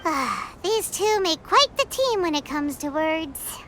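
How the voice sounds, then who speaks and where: A tiny floating sprite girl with a very high, bouncy voice that leaps all over the place. She is chirpy and childlike and refers to herself by name in the third person. Loud background noise sits under the game audio. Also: big pitch swings, takes it slowly, heavily acted. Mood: musing. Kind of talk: game cutscene voice acting.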